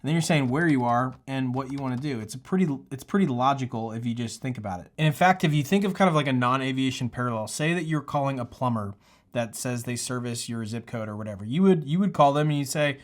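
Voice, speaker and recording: A man's voice: medium pitch, quick pace. A man speaking casually and earnestly, like an instructor hosting a tutorial, with a reassuring, encouraging manner. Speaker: pilot and aviation tutorial host. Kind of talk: casual, encouraging advice talk to camera.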